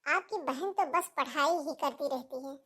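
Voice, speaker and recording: Woman acting a high-voiced stern protective mother. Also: normal speed, pushed and bright. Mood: firm, insistent. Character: stern, protective middle-aged mother, blunt with son-in-law. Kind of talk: Hindi cartoon family drama dialogue.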